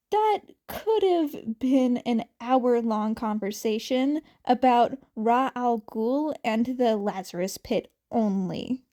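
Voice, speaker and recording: A woman narrates fan fiction expressively in a high voice. Her tone is mellow and she takes her time over the lines. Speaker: amateur podfic reader.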